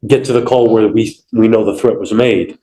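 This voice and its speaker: A man with a fairly low voice argues as a prosecutor, his words echoing in the courtroom. He explains deferentially, addressing the bench as he goes. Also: fairly even intonation, normal speed, mellow. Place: indoor courtroom. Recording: echoey courtroom audio.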